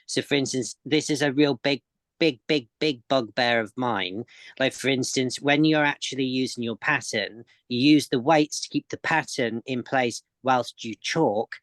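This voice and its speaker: A man's voice: mid-range voice, moderate pace, a bit bright, no room sound. A man, a sewing expert guest, explaining techniques in casual chat, often repeating words as he goes.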